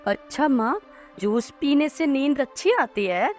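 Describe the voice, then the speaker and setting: A woman's voice: high-pitched, very animated, measured pace, darkish tone, faint music behind. A woman voicing an innocent, curious five-year-old boy who asks childlike questions in a cartoon story. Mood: innocent curiosity. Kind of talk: dramatised cartoon story dialogue.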